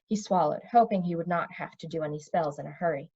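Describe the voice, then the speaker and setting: A woman reading a children's fantasy novel aloud as an expressive storybook narrator. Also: low voice, fast talker, husky. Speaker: storybook reader. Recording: dull, lo-fi recording.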